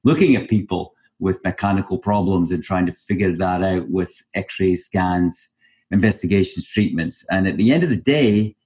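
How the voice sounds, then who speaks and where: A man's voice: sits low, moderate pace, darkish tone. A man hosting a podcast interview, welcoming his guest and talking emphatically. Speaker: podcast host.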